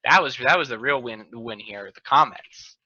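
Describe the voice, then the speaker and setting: A man with a very bright, forceful voice hosts a loose comedic chat on a video call. He is wry and playfully boastful, and the sound is close and dry but somewhat dull. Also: medium pitch, normal speed, husky, dry recording. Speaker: podcast host; lawyer. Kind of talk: casual comedic panel chat.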